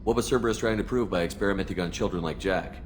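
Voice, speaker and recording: Man acting a brisk, low-voiced military commander. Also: a little hiss or hum, lightly acted. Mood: earnest. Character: earnest, steady male military starship commander. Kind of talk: video game character acting.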